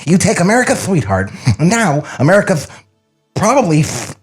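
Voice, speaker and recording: Man acting a sarcastic studio boss, exasperated, blunt, raspy, very animated delivery, comedy table read. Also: sits high, moderate pace, soft background music, heavily acted. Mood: scornful. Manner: blunt, scolding, deadpan.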